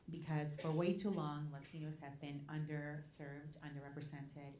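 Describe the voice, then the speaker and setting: Low-voiced woman. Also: darkish tone. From Puerto Rico. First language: Spanish. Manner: earnest, often asks the room "right?". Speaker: nonprofit president speaking at a panel. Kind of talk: public talk at a community forum. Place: college event hall. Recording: echoey hall with faint noise.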